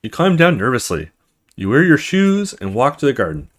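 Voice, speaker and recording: A man reads a visual novel aloud as a let's play host. His mid-pitched voice swings widely as he tells the story dramatically, dropping into offhand commentary along the way. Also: wildly swooping pitch, normal speed, fairly bright tone.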